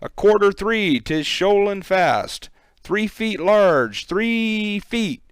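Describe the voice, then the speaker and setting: A man's voice: high voice, lively intonation, takes it slowly, smooth, no room sound, pitched above the performer's own voice. A man voicing a frantic boatman in a storybook poem, hollering urgent nautical orders. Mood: frantic, alarmed. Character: frantic boat captain and crew shouting storm orders.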